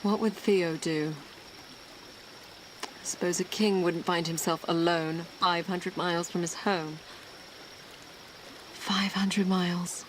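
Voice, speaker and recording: An actress playing a young blind princess in an audio game, wary but determined, with a measured, pensive delivery. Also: female voice, lowish voice, fairly even intonation, slight background noise. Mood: pensive, uneasy. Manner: measured, pensive, often speaking to herself.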